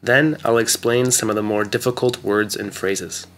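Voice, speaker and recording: A man narrating an English lesson, explaining words carefully and clearly. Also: on the low side, steady tone, normal speed, background noise. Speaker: English teacher. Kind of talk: slow scripted lesson narration.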